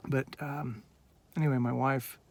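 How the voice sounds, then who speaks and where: A man's voice: medium pitch, flat delivery, unhurried, gravelly, darkish tone, slight background noise. A man in his forties from Northern California, talking in an earnest, rambling, heartfelt way in a casual personal vlog, recorded on a handheld phone. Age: 40s. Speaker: vlogger.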